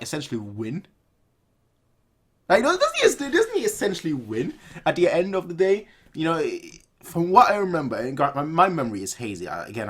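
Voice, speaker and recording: A man in his twenties giving casual, off-the-cuff reaction commentary in an animated, rambling way, repeating phrases for emphasis. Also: medium pitch. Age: 20s. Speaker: reaction video host. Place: small room at home, indoors.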